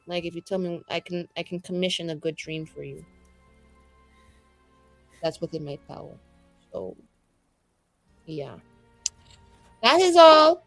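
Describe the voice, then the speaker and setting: A woman's voice: lowish voice, animated, a little slow, long pauses, a bit bright, exaggerated voice. A woman acts out a brash young heroine of a fantasy tabletop game. She is blunt and affectionate, chatting in rambling, sweary slang. Music runs underneath. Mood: coaxing.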